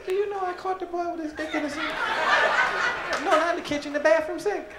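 A man's voice: very high voice, fast, over a noisy crowd, pitched above the performer's own voice. A man voicing an indignant, gossiping mother who tells off her child in a stand-up impersonation. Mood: scandalised scolding. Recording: echoey, noisy live stage.

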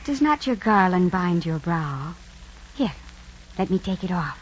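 An actress playing a soothing, manipulative lover of a Roman emperor, softly coaxing in old radio drama style. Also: female voice, fairly low pitch, big pitch swings, unhurried. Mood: coaxing, seductive. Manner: soft, coaxing. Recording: dull, noisy old radio recording.

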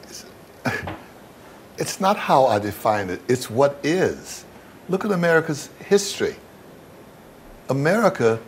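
A man's voice: medium pitch, slight background noise. A man giving blunt, emphatic answers in a candid interview, repeating phrases for stress.

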